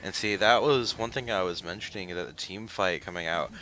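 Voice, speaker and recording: A man's voice: low-pitched, brisk, bright, forceful tone, a little hiss or hum, low-quality recording. A man giving conversational analysis as colour commentator on a live video game match.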